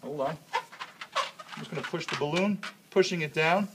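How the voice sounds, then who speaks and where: A man's voice: on the high side, moderate pace, background noise. A man speaking as a chemistry teacher, explaining a hands-on demonstration step by step and checking in as he goes.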